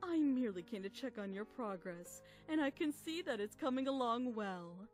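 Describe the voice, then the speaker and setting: A woman playing a motherly, gracious pony princess and mentor, speaking formally and gently in an acted fan audio drama. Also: fairly high pitch, expressive, faint music behind, natural voice. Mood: composed, approving. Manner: formal, gentle, measured speech.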